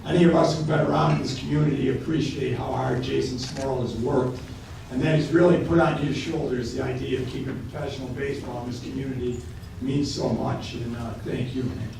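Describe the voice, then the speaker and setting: A man, giving a keynote speech at a banquet and telling stories with halting, repeated words. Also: mid-range voice, background noise. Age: late 50s. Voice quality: gravelly. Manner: storytelling, halting with repeated words, growing excited. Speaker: keynote speaker. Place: large hotel banquet hall. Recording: echoey hall from far off.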